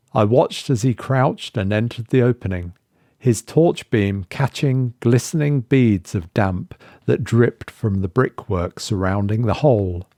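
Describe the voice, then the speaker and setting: A man narrates a horror story with a fairly low voice. His delivery is very slow, measured and full of suspense. The sound is close and dry, with no room around it. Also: mellow, no echo. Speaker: story reader.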